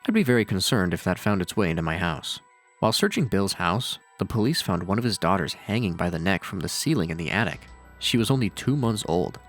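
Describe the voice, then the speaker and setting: A man's voice: low voice, sing-song, fairly quick. A man narrating scripted commentary on horror with casual, dryly sardonic asides. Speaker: video narrator and reviewer. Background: faint music.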